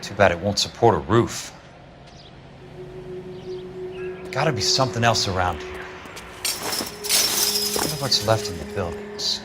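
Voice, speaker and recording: A man's voice: fairly low pitch, animated, moderate pace, loud music behind the voice, lightly acted. An actor playing a terse, wry 1940s insurance investigator in a detective game, a man with an American accent and a businesslike manner. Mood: wry musing. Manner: businesslike, dry. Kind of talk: video game detective acting.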